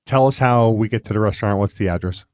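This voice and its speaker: A man in his fifties hosting a podcast, talking in a chatty, rambling way with animated asides. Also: lowish voice, fast talker, no room sound, clean studio sound. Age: 50s. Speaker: podcast host. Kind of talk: casual interview chat.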